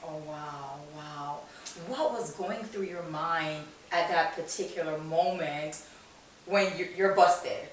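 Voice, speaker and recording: A woman's voice: low-pitched, slow, a little hiss or hum. A woman hosting a talk show in a conversational way, supportive, inquisitive and emphatic. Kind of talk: conversational interviewing. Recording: very echoey room, distant mic.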